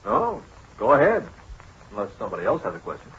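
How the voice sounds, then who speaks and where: Very raspy, blunt newsman. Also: mid-range voice, wildly swooping pitch, fast, a bit bright, heavily acted. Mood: casual, resigned. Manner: blunt, casual. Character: skeptical, plain-spoken male newspaper reporter. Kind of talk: 1940s radio acting. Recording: dull 1940s radio broadcast.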